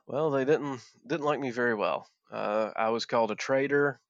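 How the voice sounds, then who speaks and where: A man's voice: mid-range voice, normal speed, dry recording. A man from southwest Virginia, a former coal miner speaking earnestly as an interview guest, often restarting and repeating words. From southwest Virginia, Appalachia. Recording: dull, dry lo-fi sound.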